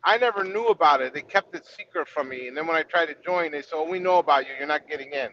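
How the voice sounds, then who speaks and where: A man's voice: fairly high pitch, quick pace, sharp tone. A man talking casually with an aggrieved, complaining manner. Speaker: expedite trucking creator. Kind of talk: casual chat. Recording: dull lo-fi audio with faint noise.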